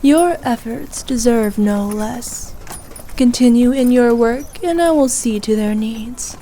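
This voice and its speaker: A woman performs a haughty evil queen in an ASMR roleplay, speaking slowly in a fairly high, soft-edged voice. She is imperious and ruthless, yet indulgent toward her favoured knight. Some background noise sits behind her words. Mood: fond, proud. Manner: regal, imperious, measured and indulgent. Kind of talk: ASMR roleplay, scripted character acting.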